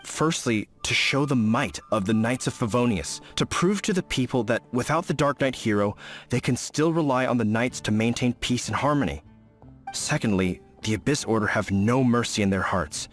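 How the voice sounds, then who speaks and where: A man's voice: medium pitch, expressive, normal speed, soft background music, lightly acted. A man voicing an earnest, dutiful knight officer who explains his orders formally, in video game acting.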